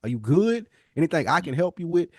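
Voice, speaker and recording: A man's voice: fairly high pitch, sing-song, fast, no room sound, studio quality. An American man in casual podcast conversation, speaking emphatically in slang and acting out imagined dialogue. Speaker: podcast co-host.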